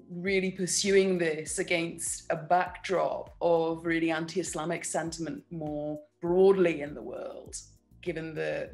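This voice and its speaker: Young woman interviewer on a webcam, low creaky voice, faint music behind. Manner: warm, long winding questions. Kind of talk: interview questions. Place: home room. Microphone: video call webcam.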